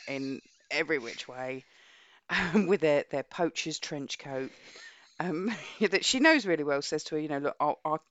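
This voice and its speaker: A woman with a husky voice from a cold, co-hosting a casual book chat and often restarting her sentences. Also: deep voice, animated, unhurried, a bit bright. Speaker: podcast co-host. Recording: dull lo-fi audio.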